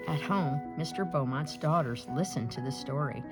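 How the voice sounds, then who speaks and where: A woman reading a children's picture book aloud with dramatic, expressive storytelling. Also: sits low, normal speed. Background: music.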